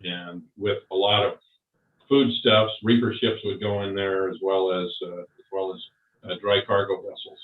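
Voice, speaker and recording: An older man recalling his service and career in an interview, talking slowly with many pauses and fillers. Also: low-pitched, little pitch movement. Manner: slow, halting, many fillers. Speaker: interviewee, Vietnam veteran. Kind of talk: oral history interview. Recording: far off, roomy, phone-like.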